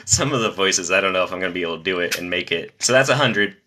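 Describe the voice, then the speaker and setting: A young man reading joke after joke on a live stream, pitching his voice low from the diaphragm to spare his nasal voice. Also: a little hiss or hum. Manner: rapid-fire joke delivery, lively.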